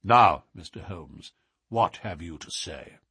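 Man playing a stern old duke, husky voice. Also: sits low, expressive, slow, a bit bright, no room sound, voice pushed down, heavily acted. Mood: stately, curt. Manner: stately, curt, grave. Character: stern, haughty older nobleman, a duke. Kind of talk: audiobook character voice.

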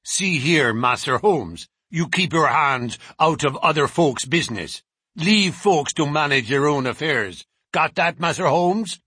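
A man voicing a menacing male prizefighter thug who talks in rough, ungrammatical threats. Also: on the high side, expressive, measured pace, bright, forceful tone, dry recording, studio quality, voice pushed up, exaggerated voice.

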